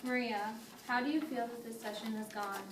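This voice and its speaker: Lady acting as calm firm family therapist, amateur role-play, higher voice, lots of background noise, far off. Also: lightly acted. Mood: mediating, patient. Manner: measured, formal, steering. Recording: noisy echoey room, distant mic.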